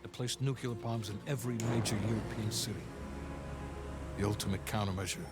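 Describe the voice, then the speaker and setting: A man's voice: fairly low pitch, steady tone, a little fast, natural voice. An actor playing a blunt, testy male CIA handler in a video game cutscene, speaking in a curt, businesslike way. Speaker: intelligence officer. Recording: loud cinematic music behind.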